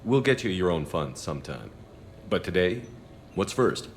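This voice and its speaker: A very deep-voiced, steady commander. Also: male voice, moderate pace, long reverb tail, a little hiss or hum. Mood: calm, wry. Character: male military commander, steady, wry, supportive human soldier. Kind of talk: video game dialogue acting.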